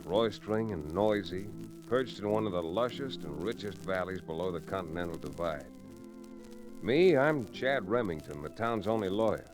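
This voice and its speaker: An actor playing a level-headed frontier lawyer and rancher with folksy delivery in an old-time radio Western. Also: male voice, low-pitched, rough recording. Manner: folksy, easygoing storytelling.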